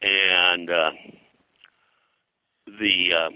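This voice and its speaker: An older man speaking as an interview guest, reminiscing in a halting, digressive way and often clearing his throat. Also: low voice, steady tone, sharp tone, no echo, rough recording. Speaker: guest, pulp and comics historian. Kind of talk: interview conversation, reminiscing about his work.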